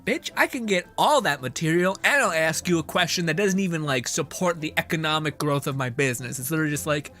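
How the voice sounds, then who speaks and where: A man's voice: a little high, quick pace, hardly any pauses, pushed and bright, soft background music. A young man giving casual, irreverent commentary while streaming a game, often swearing and mock-quoting.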